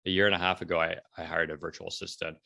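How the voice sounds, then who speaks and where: A man in his forties speaking casually as an interview guest, telling stories in an animated way and acting out bits of dialogue. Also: low-pitched, very fast, vocal fry. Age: 40s. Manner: animated storytelling, acts out quoted dialogue, often checks in with "right?". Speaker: entrepreneur and blogger being interviewed. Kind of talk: casual conversational interview talk.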